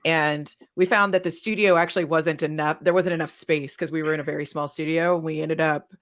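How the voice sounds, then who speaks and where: A woman's voice: deep voice, fairly even intonation, brisk. A woman talking casually as a panelist, telling stories from her own work and acting out little quotes. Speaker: panelist, audio drama creator.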